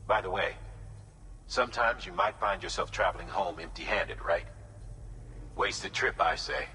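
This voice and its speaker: An authoritative video game commander with a very low voice. He briefs in a measured, instructive tone with the odd folksy aside. The sound is reverberant and noticeably noisy. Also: bright, forceful tone, exaggerated voice. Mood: brisk, instructive. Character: steady, authoritative male commander briefing a courier. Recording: echoey reverberant hall, clearly noisy ambience.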